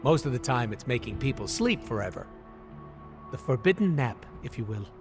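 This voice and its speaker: An actor playing a cocky, irreverent mercenary antihero in a video game, with a jokey, sarcastic, theatrical delivery. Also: male voice, mid-range voice, sing-song, normal speed, over music. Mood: cocky, joking. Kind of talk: video game cutscene acting.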